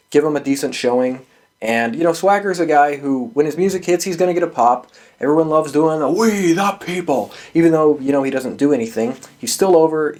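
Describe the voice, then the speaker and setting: A man's voice: medium pitch, darkish tone. A man hosting a podcast, giving casual, opinionated solo commentary in a blunt, exasperated way with frequent swearing. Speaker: wrestling podcast host giving predictions.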